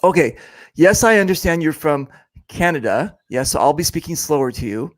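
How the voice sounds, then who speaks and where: A man's voice: on the high side, animated, moderate pace, no room sound. A man hosting a casual interview show, teasing his guest and rambling with lots of fillers. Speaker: podcast host. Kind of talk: casual joking interview chat.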